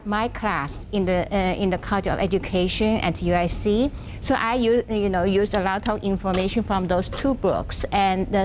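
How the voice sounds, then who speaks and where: A soft-spoken woman presenting a university workshop, speaking haltingly with restarts, seated in a classroom without a microphone. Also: mid-range voice, slight background noise. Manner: halting, frequent restarts and fillers. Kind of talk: academic workshop lecture. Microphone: no microphone, room sound.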